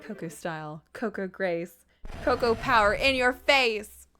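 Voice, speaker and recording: A woman's voice: medium pitch, expressive, measured pace, sharp tone. A woman streaming a video game, playfully reading dialogue aloud and exclaiming in a theatrical way. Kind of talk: live game playthrough with dramatic reading of on-screen dialogue. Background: video game music.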